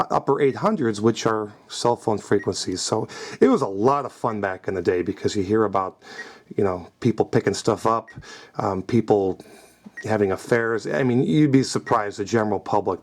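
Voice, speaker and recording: A man's voice: lowish voice, moderate pace. A middle-aged man giving an informal, conversational product demonstration in an explanatory way. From United States. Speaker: scanner hobbyist demonstrating his collection. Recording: noticeable background noise.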